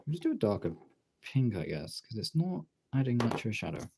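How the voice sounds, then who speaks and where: Low-to-middling pitch, creaky, lively intonation, casual sweary muttering, art stream chat, faint background noise. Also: a little fast. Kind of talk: casual chat while colouring artwork.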